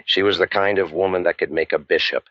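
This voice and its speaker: An actor playing a cynical, wisecracking waterfront private eye, narrating old-time radio noir in a deadpan, world-weary manner. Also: male voice, low-pitched, fast talker, no echo, highs cut off. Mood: wry, brooding. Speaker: narrator and lead detective.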